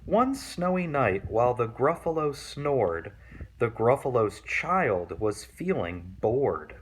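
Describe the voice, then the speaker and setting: A man reading a children's picture book aloud in an expressive narrating style. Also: mid-range voice, takes it slowly, a little hiss or hum. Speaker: storybook reader.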